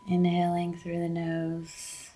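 Slow, even-toned woman guiding meditation. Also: on the low side. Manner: gentle, unhurried instruction with long pauses. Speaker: meditation guide. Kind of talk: guided breathing meditation.

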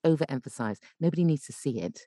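A woman's voice: low-pitched, animated, fast, dark tone, no echo, studio quality. A woman giving tips as a podcast guest in casual, chatty and emphatic conversation. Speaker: podcast guest, voice actor and coach.

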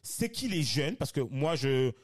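Guy in his 30s hosting a podcast, mid voice, keeps stumbling and restarting, pretty animated. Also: mid-range voice, a little slow. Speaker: podcast host. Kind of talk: casual discussion.

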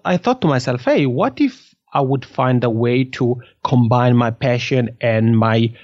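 A man from the Italian-speaking part of Switzerland with a Swiss Italian accent, a podcast guest telling his story in an animated, laughing way. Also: medium pitch, dry recording, highs cut off. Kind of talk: casual interview conversation.

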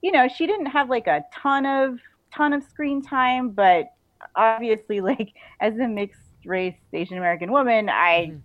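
A woman's voice: fairly high pitch, animated, moderate pace, no room sound. A woman chatting casually and enthusiastically as an interview guest, in an informal way. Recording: narrow phone-like call audio.

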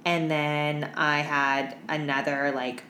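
A young woman with a low voice co-hosts a casual podcast, tired from no sleep. She is blunt and slangy, leaning on "like" and "um" as she tells work stories. The room is echoey with audible background noise. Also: little pitch movement, slow, sharp tone. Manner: slangy, blunt, heavy use of "like" and "um". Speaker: podcast co-host. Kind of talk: casual chat, telling work anecdotes.

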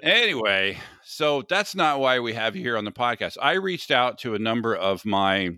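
A man's voice: medium pitch, big pitch swings, bright, forceful tone, no echo, clean studio sound. An American man hosting a podcast in a casual, chatty way, joking at his own expense. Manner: chatty, self-deprecating, quick to laugh. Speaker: podcast host. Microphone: sensitive podcast mic.

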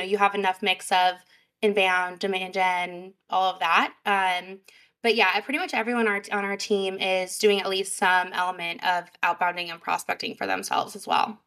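A woman guest on a podcast, high energy and chatty, with a fairly high voice and lots of fillers. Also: a bit bright. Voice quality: higher pitched voice. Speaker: sales representative guest. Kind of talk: casual podcast interview.

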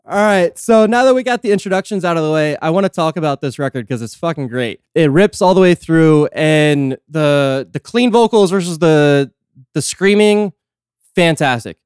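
Male podcast host, slightly high crisp voice, dry close sound, easygoing and jokey, swears casually. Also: lively intonation, normal speed, a little mellow, dry recording. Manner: joking, laid-back, swears freely.

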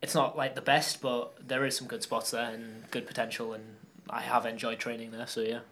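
A man's voice: medium pitch, little pitch movement, normal speed, fairly bright tone, slight background noise. A young man with a Geordie accent, from Newcastle, chatting casually as an interview guest, with a rambling manner and lots of fillers. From Newcastle upon Tyne, England. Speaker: guest athlete.

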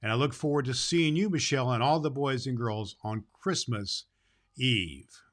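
Jolly old Santa Claus, played by a man, bright and forceful, folksy children's show host, affectionate with young listeners. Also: mid-range voice, normal speed, lightly acted. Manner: affectionate, folksy, addresses children, stumbles over words.

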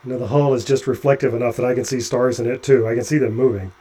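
An actor playing a nervous, chatty male doctor climbing along a ship's hull, halting with stammering restarts. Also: medium pitch, fairly even intonation, mellow, close to an everyday voice. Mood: anxious. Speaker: physician on a spacewalk. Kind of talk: audio drama acting.

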